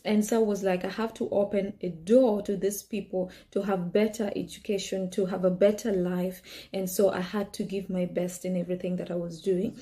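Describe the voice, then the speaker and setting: A woman's voice: medium pitch, moderate pace. A young woman from Kenya, not a native English speaker, telling her personal story earnestly with many "you know"s. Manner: earnest and animated, with frequent "you know" and laughs. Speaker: vlogger.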